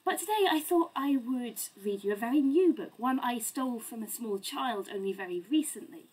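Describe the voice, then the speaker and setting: A woman in her thirties reading a children's picture book aloud in a theatrical, expressive, deliberate way at home. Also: fairly high pitch, husky, poor audio. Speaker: storyteller. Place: small home room lined with bookshelves.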